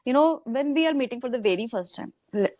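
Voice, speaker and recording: Mellow-voiced woman, hesitant host. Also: a little high, lively intonation, fast, dry recording, studio quality. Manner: reflective, hesitant with many fillers and restarts. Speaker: YouTube English teacher, host. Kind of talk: casual conversation.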